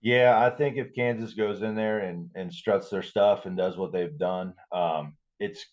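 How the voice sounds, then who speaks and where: A man's voice: sits low, steady tone, a little slow, a little mellow, highs cut off. A man giving his casual take on football as a podcast co-host. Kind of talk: casual sports chat.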